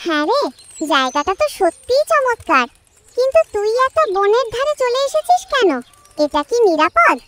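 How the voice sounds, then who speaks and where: A performer plays a little cartoon bird with a very high, childlike voice. The delivery is chirpy and lively, earnest and curious, like a friendly young storybook creature. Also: moderate pace, very noisy. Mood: wary.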